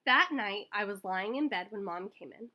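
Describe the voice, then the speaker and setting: Lively woman reading a children's story aloud. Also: mid-range voice, normal speed. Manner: animated, acts out dialogue. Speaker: school staff member reading aloud. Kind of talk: expressive children's picture book read-aloud.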